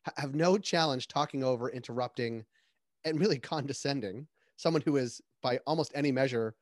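A man's voice: mid-range voice, brisk, dry recording, studio quality. A man hosting a casual podcast, speaking hesitantly with fillers. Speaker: podcast host.

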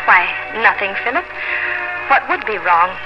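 A woman's voice: mid-range voice, sing-song, moderate pace, close to an everyday voice. An actress playing a determined young woman of the colonial frontier in a 1950s radio drama. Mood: guarded. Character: Nancy, determined young woman fleeing through colonial wilderness. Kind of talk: 1950s radio drama acting, first-person narration. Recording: dull vintage radio recording with music.

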